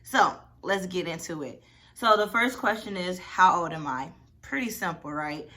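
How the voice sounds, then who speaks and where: A woman's voice: lowish voice, moderate pace, sharp tone. A woman of twenty-one, chatty and rambling, answering questions in a casual vlog. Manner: chatty, rambling, lots of "y'all" and "like". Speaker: vlogger.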